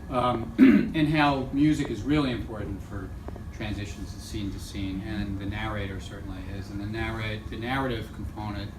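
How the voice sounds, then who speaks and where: A man teaching a radio theatre workshop informally, thinking aloud in a halting way. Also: lowish voice, fairly even intonation. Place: echoey indoor workshop room. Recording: roomy, noisy room, distant mic.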